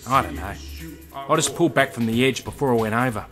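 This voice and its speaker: An actor with an Australian accent playing a scruffy, restless drifter in a radio play, cagey and excitable. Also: male voice, mid-range voice, fast talker, over music, close to an everyday voice. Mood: cagey, wry. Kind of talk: radio drama acting.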